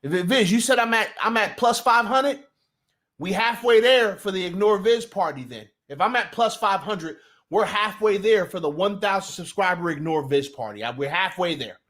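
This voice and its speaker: A man's voice: high voice, lively intonation, normal speed, fairly bright tone. A young man from New Jersey with a Jersey accent, hosting a casual live sports talk stream. He talks loosely and profanely, restarting sentences and greeting his chat.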